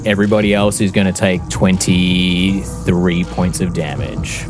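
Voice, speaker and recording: A man's voice: sits low, flat delivery, unhurried. A man narrating a tabletop game as game master, in a theatrical, suspenseful storytelling manner. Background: background music.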